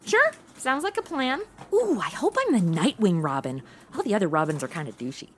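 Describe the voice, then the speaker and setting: A woman's voice: mid-range voice, sing-song, a little fast, very noisy, lightly acted. A woman playing a sarcastic, flippant modern young woman in a comic audio drama, talking in casual slangy banter. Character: snarky modern young woman stuck in old radio shows. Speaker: star of an audio comedy.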